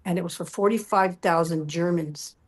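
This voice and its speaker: A middle-aged woman telling animated personal stories as an interview guest, often acting out what people said. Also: fairly low pitch, normal speed. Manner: acts out quoted dialogue. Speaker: interview guest, musical performer.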